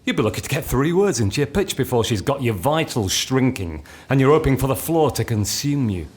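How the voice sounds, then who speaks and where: An actor playing a nosy train guard in a radio play, pointedly polite yet blunt and needling. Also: male voice, mid-range voice, sing-song, brisk, darkish tone, close to an everyday voice. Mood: ominous needling. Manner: sing-song ticket call, pointedly polite, blunt.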